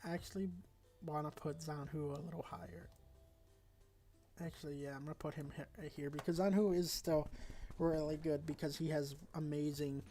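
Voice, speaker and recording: Congested raspy man rambling through game talk. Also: a little high, warm tone. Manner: loose and rambling, with frequent fillers and self-corrections. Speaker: gaming video creator. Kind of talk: casual tier-list commentary.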